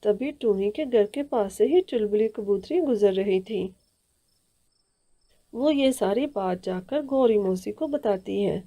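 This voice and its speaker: A woman's voice: mid-range voice, slow pace, warm tone. A woman reading scripted narration for a cartoon story as its storyteller.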